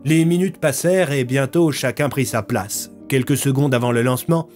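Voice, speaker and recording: A man's voice: medium pitch, moderate pace, a little mellow, soft background music. A man narrating a scripted audio fiction story.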